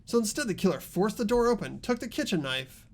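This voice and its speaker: A man voicing an earnest high school boy in a mystery game, explaining a case in a deliberate, dramatic way. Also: very animated, gravelly, exaggerated voice. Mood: grave, determined. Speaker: amateur voice actor in a game playthrough.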